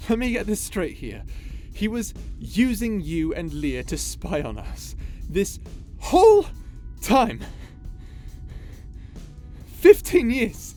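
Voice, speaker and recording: A man's voice: high-pitched, huge pitch swings, takes it slowly, dark tone, exaggerated voice. A man playing an impassioned space pirate captain in an audio roleplay, with a dramatic, emotive delivery full of sighs. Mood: incredulous outrage. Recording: voice over background music.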